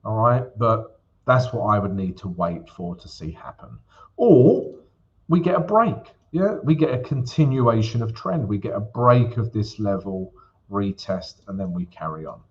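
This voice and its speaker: A man's voice: on the low side, expressive, unhurried. A man hosting a live trading stream, chatty and casual, checking in with the audience as he walks through charts. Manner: chatty, peppers lines with "yeah?" and "guys". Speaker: forex livestream host. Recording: slightly dull livestream audio.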